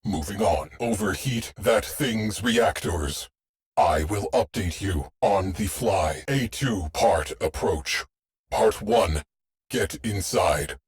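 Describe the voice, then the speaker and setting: A man voicing a terse, dry shipboard AI tactician in a video game, speaking in clipped, deadpan military phrases. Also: sits low, slow, exaggerated voice. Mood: deadpan, wry. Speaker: mission control voice. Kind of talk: scripted game voice lines.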